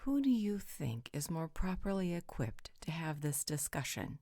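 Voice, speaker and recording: An actress playing a bossy, sardonic female vampire in an audio roleplay, with a husky, breathy voice and a commanding, deliberate delivery. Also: sits low, very animated, warm tone, no echo, clean studio sound, heavily acted. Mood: haughty, threatening.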